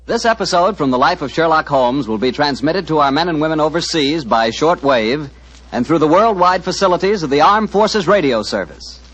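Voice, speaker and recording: A man's voice: fairly high pitch, lively intonation, normal speed, fairly bright tone. A male radio announcer reading a scripted commercial in a folksy, chatty way. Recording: muffled old radio broadcast.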